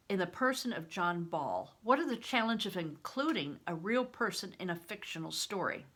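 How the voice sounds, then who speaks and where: An older woman reads aloud to her listeners in a bright, clear-edged voice. Her pitch moves up and down in a lively way as she shapes each phrase deliberately, slipping easily into informal remarks. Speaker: read-aloud host. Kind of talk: reading aloud an interview with informal asides.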